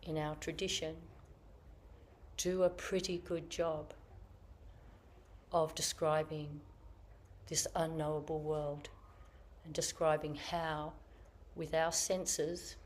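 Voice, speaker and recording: A woman Zen teacher giving a dharma talk in slow, reflective, searching phrases, her voice a little croaky after being ill. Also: sits low, level tone, slight background noise. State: slightly croaky from illness. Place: meditation hall with online audience.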